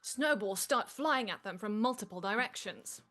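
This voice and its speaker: A woman's voice: medium pitch, animated, normal speed, bright, forceful tone. A woman reading scripted stage directions as narrator at a comedic live table read.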